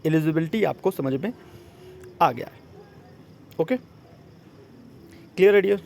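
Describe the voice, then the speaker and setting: A man's voice: on the high side, animated, measured pace, gravelly, a little mellow. A man gives an informal explainer, mixing in English words and often checking that listeners follow. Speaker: exam coaching presenter. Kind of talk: informal explainer of a job notice.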